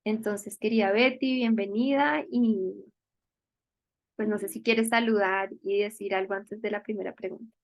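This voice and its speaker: A woman moderator gently introducing a guest and welcoming viewers to a session. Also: medium pitch, steady tone, moderate pace. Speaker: host, moderator.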